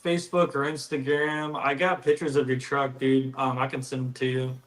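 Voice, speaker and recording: A man's voice: mid-range voice, level tone, normal speed, echoey room. Easygoing, tired man rambling casually. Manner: easygoing, rambling, says "dude". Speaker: co-host of a live stream. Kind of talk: casual chat.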